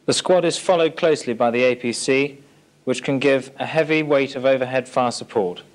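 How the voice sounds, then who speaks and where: A man's voice: medium pitch, normal speed. A British man narrating a military training film in a formal, matter-of-fact, scripted instructional manner. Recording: 1980s archive training film soundtrack.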